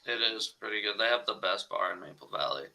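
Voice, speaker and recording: A man's voice: lowish voice, lively intonation, quick pace, bright, forceful tone. A man making laid-back, wry remarks in a casual chat.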